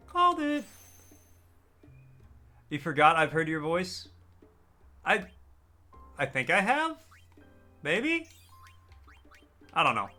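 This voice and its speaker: A man live-streaming a game, chatting casually with his viewers in a deliberately raised, customer-service-style register. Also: fairly high pitch, sharp tone. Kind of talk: casual live-stream chat while gaming.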